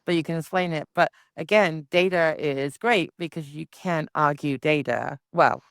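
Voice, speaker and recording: Chatty woman host, smooth clear voice, dry close recording. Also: deep voice, moderate pace, no echo. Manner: chatty, talks through ideas aloud. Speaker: podcast host. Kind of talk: casual interview chat.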